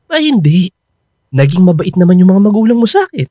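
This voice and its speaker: Earnest, reassuring boyfriend, dry sound. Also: male voice, high-pitched, fairly quick, no echo. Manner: expressive, protesting, reassuring. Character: earnest grown son, reassuring boyfriend, exasperated by teasing. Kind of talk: Filipino radio drama acting.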